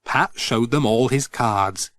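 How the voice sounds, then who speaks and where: A middle-aged man reading a children's storybook aloud, gentle and expressive. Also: mid-range voice, slow, no room sound. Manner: gentle, expressive, sing-song. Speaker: audiobook narrator.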